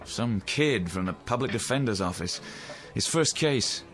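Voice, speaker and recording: Low, slow, wry newspaperman. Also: animated, a bit bright. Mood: troubled, musing. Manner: arch, mock-formal, hesitant. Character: wry, troubled newspaperman, mock-humble and drinking. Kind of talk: mid-century television drama acting.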